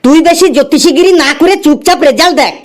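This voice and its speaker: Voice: sits high, fast talker, close to an everyday voice. An actor voicing a cheeky, impatient young student in a comedy cartoon, arguing and talking back. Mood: defiant.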